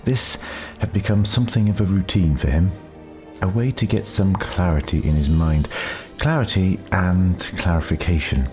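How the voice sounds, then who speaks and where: A man reading scripted story narration in a measured, deliberate way, with dramatic pauses. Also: deep voice, darkish tone, soft background music. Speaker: audiobook narrator.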